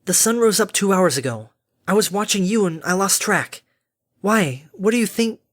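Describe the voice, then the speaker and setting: A brash female pony adventurer, performed by a woman reading a story. She talks in a blunt, folksy way with an exasperated edge. The pitch is raised and punchy. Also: animated, moderate pace, natural voice. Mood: bewildered. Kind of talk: animated audiobook character acting.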